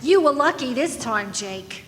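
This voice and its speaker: Woman playing a slow, seductive noir dame. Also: high-pitched, expressive, a bit bright. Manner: sultry, unhurried. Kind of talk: noir radio drama acting. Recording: echoey hall, faint noise, live stage performance.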